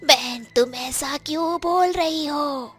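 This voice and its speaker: A woman playing a kind, humble witch mother in a cartoon story, speaking in a gentle, concerned way. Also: high-pitched, slow, faint music behind. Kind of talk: Hindi animated moral story acting.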